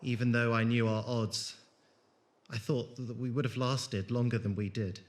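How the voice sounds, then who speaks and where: A man in an audio drama playing a haunted former soldier and leader, speaking in a deliberate, dramatic way. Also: medium pitch, little pitch movement, slight background noise, lightly acted. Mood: haunted, sombre. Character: haunted, grieving male former soldier, brisk leader of travellers.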